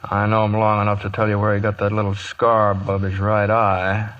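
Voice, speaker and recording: A steely cowboy hero from an old radio western, played by a man. He talks low, terse and controlled, on a slightly dull vintage recording. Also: monotone, a bit bright, natural voice. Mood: steely calm. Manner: measured, terse. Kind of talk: 1950s radio western acting.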